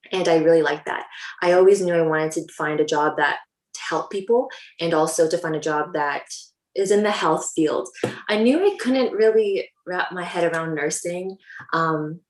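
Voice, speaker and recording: A young woman from Canada, a dental hygienist, talking casually and upbeat with lots of ums. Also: sits low. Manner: chatty, upbeat, many ums. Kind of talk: casual talk to a live audience. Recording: echoey room.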